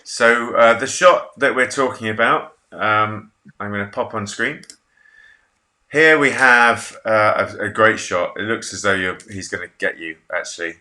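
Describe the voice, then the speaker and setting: A man's voice: on the low side, a little slow, bright, forceful tone. A man in his thirties hosting a casual video-call interview from a home office, chatty, jokey and self-deprecating. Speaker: interview host.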